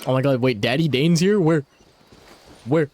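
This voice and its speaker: A man's voice: medium pitch, normal speed, darkish tone, soft background music. A young man giving casual gaming commentary, slangy and profane, with muttered asides. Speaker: game streamer.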